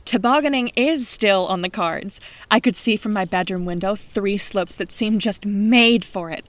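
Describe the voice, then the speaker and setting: A woman's voice: mid-range voice, normal speed, dry recording, lightly acted. A woman playing a blunt, wisecracking house guest in an audio drama, with a dry, wry way of talking. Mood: enthusiastic. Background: music.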